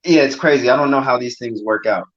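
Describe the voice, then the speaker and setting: A man's voice: medium pitch, quick pace. A man hosting a casual conversation, asking follow-up questions. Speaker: podcast host. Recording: dull lo-fi sound.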